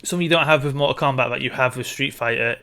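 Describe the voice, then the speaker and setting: A man's voice: mid-range voice, very fast, gravelly, bright, forceful tone, clear, resonant tone. A man co-hosting a casual film discussion, explaining his opinions as he goes. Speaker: podcast co-host.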